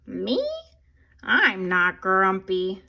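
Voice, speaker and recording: A woman puts on a grumpy young monkey from a children's storybook. He grumbles and insists in slow, drawn-out protests, with a pitch that moves around a lot. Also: lively intonation, gravelly, lo-fi sound, full character voice. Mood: defensive, grumpy. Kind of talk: storybook character voice.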